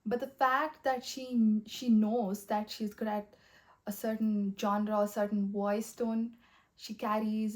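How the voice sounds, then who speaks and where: A young woman talking in a rambling, earnest way, full of fillers, with a soft voice that has a slight husky edge. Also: a little high, poor audio. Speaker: vlogger. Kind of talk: casual motivational monologue.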